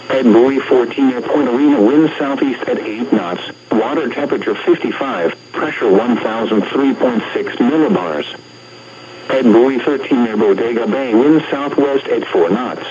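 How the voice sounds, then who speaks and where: Automated weather radio announcer: American man, synthetic and impersonal, reading scripted bulletins in segments, muffled radio signal with faint noise. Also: mid-range voice.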